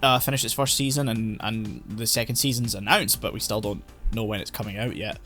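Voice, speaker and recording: A man's voice: lowish voice, steady tone, a little fast, bright, forceful tone, soft background music. A man co-hosting a casual podcast, rambling through his thoughts with lots of fillers and restarts. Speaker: podcast co-host. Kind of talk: casual chat about anime. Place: home, recording online.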